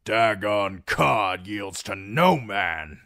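A man's voice: on the low side, expressive, drawn-out words, creaky, bright, forceful tone, no echo, clean studio sound, full character voice. A man doing a belligerent hulking brute. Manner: belligerent, defiant. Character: hostile hulking male fish-faced brute.

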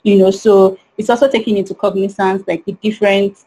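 A woman's voice: mid-range voice, steady tone, a little fast, mellow, husky, rough recording. A woman in her thirties, a panelist on an online video call over a headset, speaking earnestly and often saying "you know".

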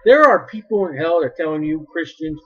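Loud high-voiced man preaching. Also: animated, fairly quick, husky, lo-fi sound. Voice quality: big loud voice. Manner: loud, emphatic preaching that swells in volume. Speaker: street preacher. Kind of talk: sermon.

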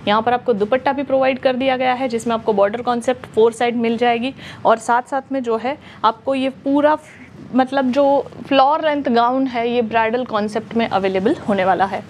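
A young woman presenting clothes in a shop showroom, talking in brisk promotional patter with lots of fillers, on a lapel mic. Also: on the high side, fairly even intonation, darkish tone, a little hiss or hum. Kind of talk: product showcase sales pitch.

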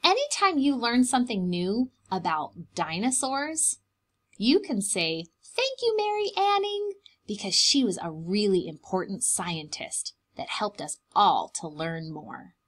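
Young woman, preschool story-time reader. Very lively, swooping intonation, unhurried delivery, simple child-directed explanations. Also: mid-range voice. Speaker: preschool teacher reading aloud to children. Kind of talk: expressive children's picture-book read-aloud.

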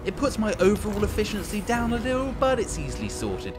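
A man narrates a strategy game in a wry, playful manner. His voice sits a little high and moves animatedly up and down. Music plays clearly behind the commentary. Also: huge pitch swings, fairly quick. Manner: wry, tongue-in-cheek. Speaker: gameplay commentator. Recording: voice-over with background music.

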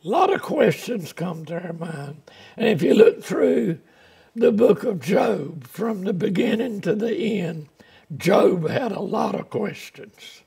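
A man's voice: sits high, animated, slow pace, vocal fry, mellow, slightly rough. An older man preaching a folksy, plainspoken sermon from the pulpit of a small church, speaking through a lapel mic. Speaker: pastor.

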